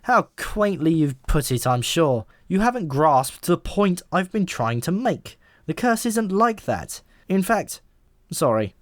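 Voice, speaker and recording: A man's voice: fairly high pitch, very animated, slow, no room sound, studio quality, pitched above the performer's own voice. A man voicing a talking pigeon in an audiobook, a knowing and easily exasperated explainer with a wry, emphatic manner.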